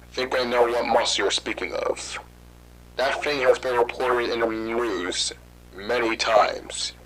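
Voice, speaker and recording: An actor playing a calm government interviewer who speaks in a composed, formal way. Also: male voice, mid-range voice, measured pace, faint music behind, close to an everyday voice, rough recording. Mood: matter-of-fact. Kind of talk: amateur horror audio drama.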